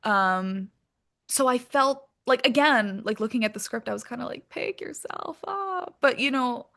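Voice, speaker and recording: A woman speaking as an interview guest in a candid, casual chat, animated and informal, peppering her talk with filler phrases. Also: on the high side, a little slow, creaky.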